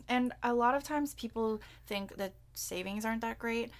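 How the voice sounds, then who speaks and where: A woman's voice: fairly high pitch, moderate pace. Giggly young woman co-hosting a podcast. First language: Cantonese. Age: young adult. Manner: chatty, giggly, swears casually. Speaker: podcast co-host. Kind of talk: casual chat.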